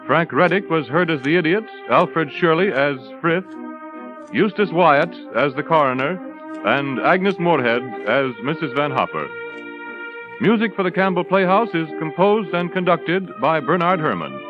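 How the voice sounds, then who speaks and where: A man's voice: fairly high pitch, expressive, moderate pace. Male vintage radio announcer over music. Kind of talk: 1930s radio announcing, reading credits. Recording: muffled 1930s radio, music behind.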